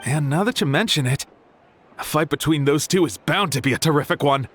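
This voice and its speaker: A man playing an excitable, imaginative young townsman in a video game, eager and full of speculation. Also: fairly high pitch, brisk, faint music behind. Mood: speculative, excited. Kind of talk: video game character acting.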